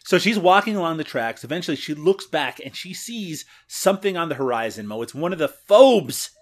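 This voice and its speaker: Lively Canadian man recapping a movie. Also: on the high side, fairly quick. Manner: laughs often, recaps scenes. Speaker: podcast co-host. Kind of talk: casual movie review chat.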